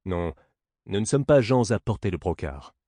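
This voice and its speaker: A man plays a wry, sceptical manservant in a classic play, needling with sly sarcasm. The voice is on the low side with lively swings of pitch, in a very dry recording. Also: moderate pace, dry recording. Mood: mocking. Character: Grégoire, mocking, sly, sceptical male servant.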